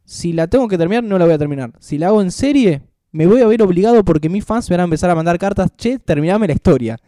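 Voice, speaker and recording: Animated Argentine man co-hosting a podcast. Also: high-pitched, quick pace, no echo, studio quality. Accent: Rioplatense Spanish. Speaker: podcast co-host. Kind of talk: casual podcast chat.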